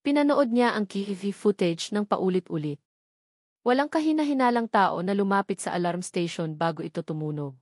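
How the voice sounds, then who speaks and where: Woman narrator reading a dramatic story, medium pitch, no room echo. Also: expressive, normal speed, darkish tone, clean studio sound.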